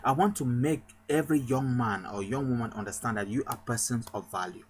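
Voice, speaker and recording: A man's voice: mid-range voice, brisk, a little hiss or hum. A man from Cameroon, a pastor, giving an emphatic motivational sermon with a repetitive rallying cadence.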